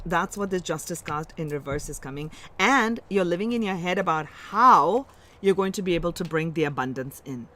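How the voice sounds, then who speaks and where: Woman with an Indian accent reading tarot. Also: on the low side. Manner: musing, warm, reassuring, with self-interruptions. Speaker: tarot reader.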